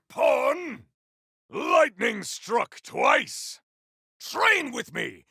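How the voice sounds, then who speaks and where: Zealous video game champion: high-pitched for a man, raspy, bombastic and theatrical, swooping boldly through boastful combat barks. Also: sharp tone, no echo, clean studio sound, heavily acted. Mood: wrathful, exultant. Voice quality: gravelly, raspy. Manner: bombastic, archaic, theatrical declamation. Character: zealous, boastful male warrior champion, grandiose and battle-hungry.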